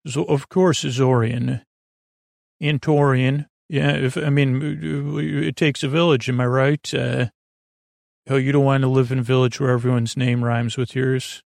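A man hosting a bedtime podcast, drifting through meandering, digressive talk in a soft, unhurried, self-deprecating way. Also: medium pitch, steady tone, creaky, no room sound, clean studio sound. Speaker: podcast host.

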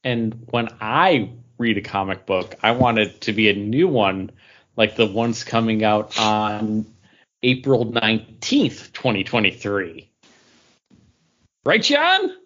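A man's voice: on the low side, animated, takes it slowly, fairly bright tone. A man chatting casually as he hosts a podcast. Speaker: podcast host. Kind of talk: casual chat. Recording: dull, lo-fi podcast audio.

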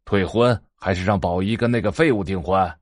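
Domineering middle-aged tycoon father, very low voice, curt and pompous, close dry audio-drama sound. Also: normal speed, no room sound. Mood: exasperated. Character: stern, domineering middle-aged wealthy patriarch. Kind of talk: Chinese audio drama acting.

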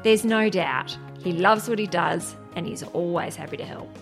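A woman's voice: fairly low pitch, normal speed, vocal fry, over music. A woman hosting an interview podcast in a polished, presenter style, introducing and questioning guests.

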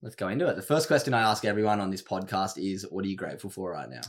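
A young Australian man hosts a relaxed podcast from a home bedroom studio. His voice sits fairly low, and he chats in an upbeat, slangy way. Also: racing, husky. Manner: upbeat and chatty, with slangy asides. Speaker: podcast host. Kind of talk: casual podcast chat.

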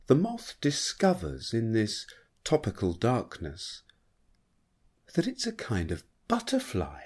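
A man giving a dramatic, measured reading of a poem in a husky voice. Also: on the low side, a little mellow. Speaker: poetry reader.

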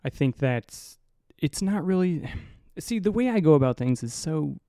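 A young man hosting a solo podcast in a low, mumbly voice, rambling and swearing casually, right up on the mic. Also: expressive, normal speed, dark tone, no echo, clean studio sound. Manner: rambling, profane, self-mocking. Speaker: podcast host. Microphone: close to the mic.

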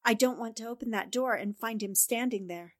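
A woman's voice: fairly high pitch, a little fast, no room sound, studio quality, close to an everyday voice. A woman acting a determined, easily exasperated newspaper reporter in a 1940s-style radio drama. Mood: wary, businesslike.

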